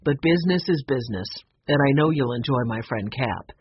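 A storybook narrator voicing a kindly, calm, businesslike older man who is a grandfather. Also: mid-range voice, brisk, slightly rough, dry recording, highs cut off.

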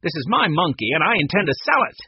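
A man's voice: a little high, animated, normal speed, breathy edge, no room sound, muffled recording, pitched above the performer's own voice. A man voicing a hostile, snarling adult villain in a storybook, with a harsh, snappish, sneering manner. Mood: nervous, defiant.

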